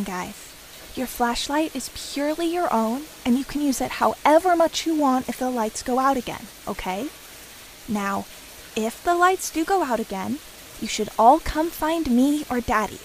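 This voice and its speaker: A woman plays a caring mother in an audio horror drama. Her voice is notably high, and she gives instructions patiently and reassuringly. Faint hiss runs behind her. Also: rough recording.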